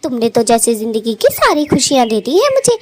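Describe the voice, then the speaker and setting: Cartoon bride-to-be bird voiced by a woman: high, girlish, lively pitch, affectionate and gushing. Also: a little fast. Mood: blissful. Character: happy, affectionate young bride-to-be girl bird. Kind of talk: cartoon story voice acting.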